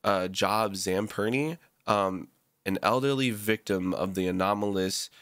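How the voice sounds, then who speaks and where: A young American man doing a casual reaction, reading text aloud haltingly with slangy asides. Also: fairly low pitch, a bit bright, no echo, clean studio sound. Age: young adult. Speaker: reaction video creator. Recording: prominent background guitar music. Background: prominent instrumental music with guitar.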